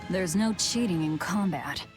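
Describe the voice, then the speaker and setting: A woman's voice: lowish voice, measured pace, natural voice. An actress playing a cynical, hard-edged young woman fighter in a video game, blunt and dry, with music underneath. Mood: sardonic. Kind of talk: English video game dub acting.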